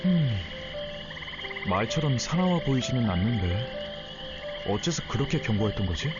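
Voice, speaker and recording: A man voicing a gentle, thoughtful and protective young companion in a Korean game, earnest and caring. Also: mid-range voice, sing-song, moderate pace, highs cut off, loud music behind the voice, close to an everyday voice. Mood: calm, curious. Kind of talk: Korean game character voice acting.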